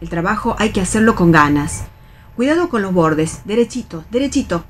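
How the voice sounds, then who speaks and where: A middle-aged Argentine actress playing a bossy, resigned, wary factory worker of nearly sixty in a radio theatre reading, instructing and admonishing colloquially. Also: female voice, mid-range voice, animated, moderate pace, slight background noise, lightly acted. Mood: brisk instructing.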